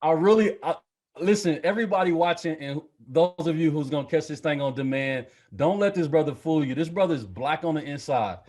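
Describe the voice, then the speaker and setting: A man's voice: fairly high pitch, fairly quick, darkish tone. A man hosting a church leadership podcast, playful and slangy as he introduces his guest. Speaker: podcast host. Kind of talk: podcast interview.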